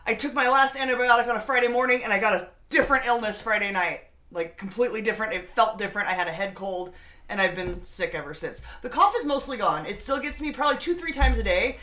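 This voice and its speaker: A woman's voice: on the high side, fast talker, sharp tone, a little hiss or hum. A woman host chatting casually and reading viewer comments, congested from a lingering cold, with a sassy, teasing manner. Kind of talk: casual livestream chat.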